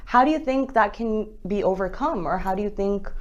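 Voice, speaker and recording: A woman's voice: mid-range voice, expressive, brisk, lo-fi sound. A woman hosting a conversational interview, talking in a wordy, circling way as she works toward her questions. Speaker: interview host.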